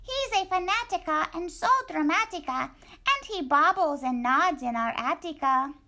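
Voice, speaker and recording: A woman voicing a small, excitable and bossy male chihuahua in a storybook, with high squeaky chatter. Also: moderate pace, exaggerated voice. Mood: excited.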